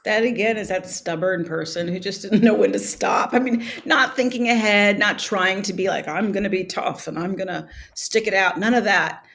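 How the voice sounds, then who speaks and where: A woman's voice: mid-range voice, normal speed, hardly any pauses, a bit bright. A middle-aged woman speaking as an interview guest, reflective and laughing often, with many restarts. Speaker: guest, actress and author. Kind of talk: conversational interview.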